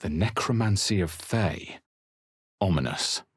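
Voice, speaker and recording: A man voicing a wary, wry adventurer hero in a video game, with a husky voice and terse, theatrical delivery. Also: deep voice, a little slow, dry recording, clean studio sound. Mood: watchful. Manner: terse, theatrical, emphatic on incantations. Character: young-adult male adventurer hero, alert, wry, chanting spells. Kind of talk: video game character barks and spell incantations.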